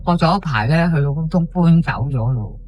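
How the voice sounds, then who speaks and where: A woman's voice: sits low, a little fast, darkish tone, slight background noise, close to an everyday voice. An actress with a Hong Kong Cantonese accent playing a chatty, dramatic housewife neighbour telling her tale in an audio drama. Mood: sorrowful. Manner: colloquial, exclamatory, gossipy. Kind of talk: podcast audio drama monologue.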